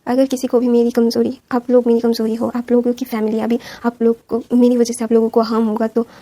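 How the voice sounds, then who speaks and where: A woman voicing a young male mafia boss who earnestly pleads to be heard, in a rapid, flat, mellow everyday voice. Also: on the high side, natural voice. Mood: pleading.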